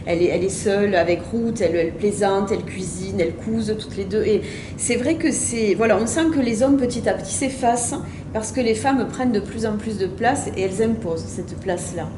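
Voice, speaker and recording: A woman's voice: medium pitch, a little fast, reverberant, noisy background. A woman interviewing an author about his novel, agreeing often and summing up the book. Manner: attentive, frequent short agreements.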